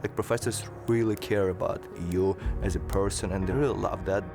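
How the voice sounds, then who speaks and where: Young man from Kazakhstan, twenties, low gravelly voice, candid interview, music bed. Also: steady tone, brisk. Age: 20s. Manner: candid, plain, searching for words. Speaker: graduating student interviewed for video. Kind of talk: reflective interview talk. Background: background music.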